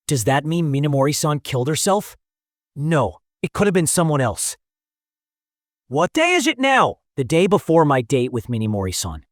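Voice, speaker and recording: Man voicing an anxious, expressive teenage schoolboy loner. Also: a little high, dry recording, studio quality. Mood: shaken, frustrated. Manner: hesitant, musing to himself. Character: anxious, awkward teenage schoolboy loner, earnest and easily flustered. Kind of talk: anime-style dubbed story acting with inner monologue.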